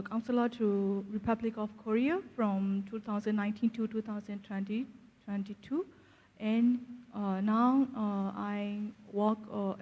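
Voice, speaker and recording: A woman government official introducing herself formally and haltingly at a round table. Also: mid-range voice. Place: large reverberant meeting room. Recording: muffled, long hall reverb.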